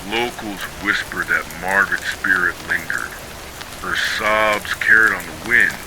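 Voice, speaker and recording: A man reading a scripted ghost story for sleep in a measured, dramatic storytelling manner. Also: low-pitched. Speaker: horror story narrator. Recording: steady rain noise behind voice. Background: rain falling.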